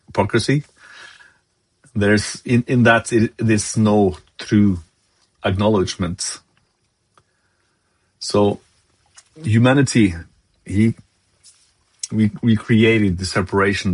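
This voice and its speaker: A low-voiced man with a Norwegian accent delivers a devotional talk in English, his second language. His voice is husky and slightly breathy, and he speaks slowly, stopping often to hunt for the right word. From Norway. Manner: halting, searching for words, many fillers. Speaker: lay preacher. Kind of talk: devotional sermon.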